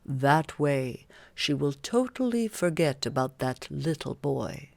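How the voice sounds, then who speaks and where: A woman's voice: deep voice, animated, slow pace, vocal fry, a little mellow, no echo, studio quality, voice pushed down, full character voice. A woman voicing a commanding, scheming male fairy king in a children's storybook.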